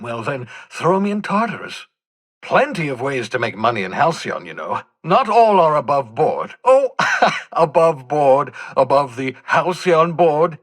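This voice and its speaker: Eccentric, husky, excitable older scientist. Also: male voice, medium pitch, heavily acted. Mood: amused, smug. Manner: theatrical, erudite, rambling, swinging from mutters to exclamations. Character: eccentric older male mad scientist, excitable, vain rebel. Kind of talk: video game character dialogue.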